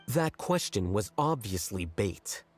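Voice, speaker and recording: A man playing a blunt, aloof scholarly official in a video game, speaking in a dry, precise, condescending deadpan. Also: lowish voice, slow pace, full character voice. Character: aloof, blunt, scholarly male acting grand sage. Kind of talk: scripted video game voice acting. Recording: game music behind the voice.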